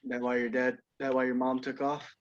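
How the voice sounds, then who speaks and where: A muffled video-call recording of a man acting a cocky young guy obsessed with horror. He has a mid-pitched voice and a slyly menacing edge, as in a film script read-through. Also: monotone, brisk, slight background noise, natural voice. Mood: smug, probing.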